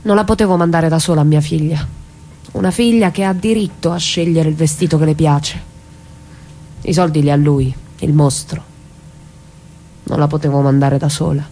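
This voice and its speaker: A woman's voice: low voice, steady tone, moderate pace, pauses often, dark tone, a little hiss or hum, low-quality recording. A defiant, wounded mother. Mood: bitter, resolute. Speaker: actor in a dramatic reading. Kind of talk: dramatic radio play dialogue.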